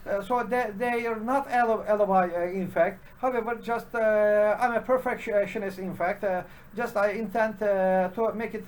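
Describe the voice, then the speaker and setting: A man with a Turkish accent, a native Turkish speaker, giving an unscripted solo commentary for his programming channel, halting and full of "uh" fillers and restarts. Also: high voice.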